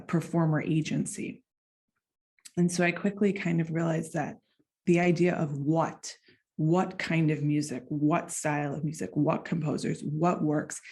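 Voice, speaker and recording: A young woman with an American accent talks through her research at a symposium. Her voice sits low and warm, and she explains things in a loose, conversational way. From United States. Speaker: doctoral researcher and clarinetist presenting. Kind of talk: academic conference presentation.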